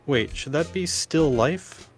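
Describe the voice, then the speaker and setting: A man's voice: fairly high pitch, expressive, slow pace, soft background music. A man co-commentating on a video game playthrough in a casual, bantering way.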